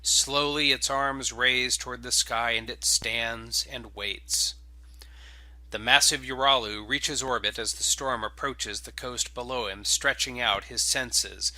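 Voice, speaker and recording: Forceful, bright-voiced man narrating. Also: mid-range voice, fairly even intonation, slow, no echo, poor audio. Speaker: game writer and host introducing and reading stories. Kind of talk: casual podcast intro, then dramatic scripted narration.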